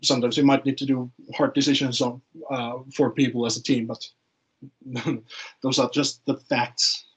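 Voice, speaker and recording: A man's voice: medium pitch, level tone, moderate pace, fairly bright tone, husky, poor audio. A man, speaking informally in a webinar discussion and often repeating words as he thinks. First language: Finnish. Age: late 20s.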